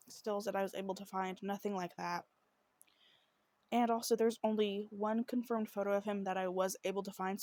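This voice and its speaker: A woman hosting a true crime podcast with a slightly rough voice, narrating a case from notes in an apologetic way and often tripping over her words. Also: mid-range voice, darkish tone, no echo. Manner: apologetic, trips over words, many fillers.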